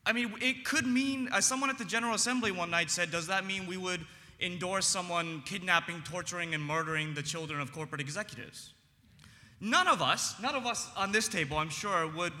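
Earnest man with a big, bright voice. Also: sits high, long reverb tail. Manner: earnest, frequent ums, emphatic slowed phrases. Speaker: panel speaker. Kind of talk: impassioned public debate speech.

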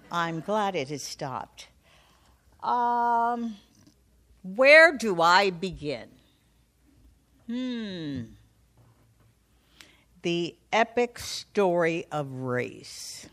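An older woman, a veteran broadcast journalist, speaking on a panel in a slow, deliberate way with dramatic emphasis. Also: lowish voice, fairly bright tone. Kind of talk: panel talk. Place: conference hall.

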